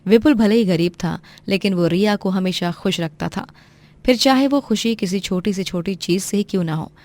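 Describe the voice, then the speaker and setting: A woman's voice: medium pitch, normal speed, a little mellow, no room sound. A woman reading a scripted moral story as its narrator.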